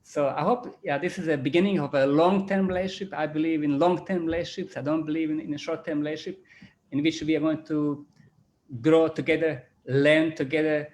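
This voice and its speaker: A man with a Croatian accent, a business school chairman giving closing remarks on a video call, halting and repeating words with many fillers. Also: on the high side, fairly even intonation, a little mellow. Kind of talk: closing remarks at an online panel.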